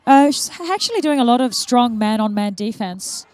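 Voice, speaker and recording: A woman's voice: on the high side, lively intonation, normal speed, very noisy. A woman with a New Zealand accent giving conversational live sports commentary with an inquisitive manner.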